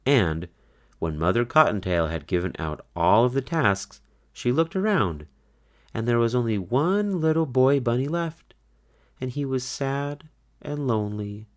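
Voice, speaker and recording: A man narrating a children's storybook aloud, with expressive, deliberate, scripted storytelling. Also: mid-range voice.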